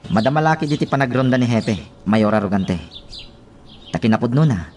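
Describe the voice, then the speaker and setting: Man acting eager, animated Ilocano underling. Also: medium pitch, normal speed, a little hiss or hum, close to an everyday voice. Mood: dutiful. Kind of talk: radio drama acting.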